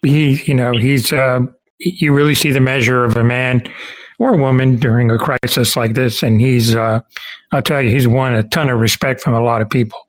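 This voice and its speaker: A man's voice: mid-range voice, fairly even intonation, moderate pace, low-quality recording. A man hosting an interview in a casual, agreeable and complimentary conversational style. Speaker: podcast interviewer, host.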